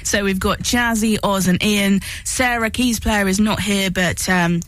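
Female radio presenter, medium pitch, informal studio interview style. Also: fairly even intonation, unhurried, a bit bright, no echo. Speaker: radio host.

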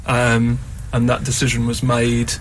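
A man speaking as a musician guest in a casual interview, explaining things in a thoughtful, measured way. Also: mid-range voice, completely flat delivery, a little hiss or hum, poor audio. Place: radio studio.

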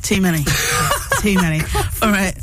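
A woman's voice: mid-range voice, big pitch swings, normal speed, a loud crowd, rough recording. A woman hosting a radio show, interviewing in a conversational, enthusiastic way. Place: radio studio.

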